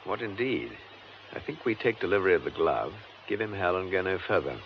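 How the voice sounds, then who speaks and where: Composed British police superintendent, deep voice, varied intonation, gravelly creak, radio drama. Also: male voice, big pitch swings, brisk, highs cut off, a little hiss or hum. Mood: brisk, reasoning. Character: composed, shrewd gentleman police detective superintendent.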